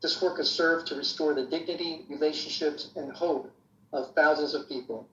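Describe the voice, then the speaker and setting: A man's voice: on the high side, steady tone, normal speed, fairly bright tone. A man presenting an award, reading a formal scripted introduction. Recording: roomy, dull lo-fi with faint hiss.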